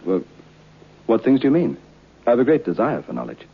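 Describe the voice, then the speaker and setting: An actor playing a polite, earnest young divinity student in a vintage radio drama, a little hesitant and defensive. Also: male voice, mid-range voice, huge pitch swings, a little fast, gravelly, slightly rough, heavily acted. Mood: curious, earnest. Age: young adult. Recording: muffled old radio recording.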